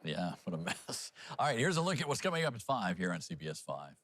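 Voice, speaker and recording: A man's voice: low-pitched, big pitch swings, quick pace, fairly bright tone, a little hiss or hum. A man working as a news anchor, presenting headlines and slipping into casual, jokey banter. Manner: jokey, conversational.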